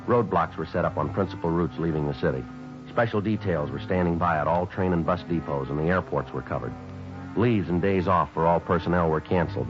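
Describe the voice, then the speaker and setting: Fast, low, deadpan radio detective. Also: male voice. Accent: American. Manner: deadpan, terse, matter-of-fact. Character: stoic, businesslike male police detective. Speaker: narrator and lead actor. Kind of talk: 1950s radio police drama acting, clipped scripted narration. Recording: dull vintage 1950s radio recording.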